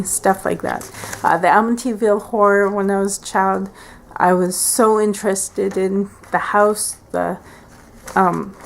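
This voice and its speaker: Woman, 40s, home vlog. Slow, hesitant, gravelly, lots of fillers. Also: mid-range voice. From Canada. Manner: hesitant, many fillers and restarts. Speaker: beauty vlogger. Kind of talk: casual tag video chat. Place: at home by a window.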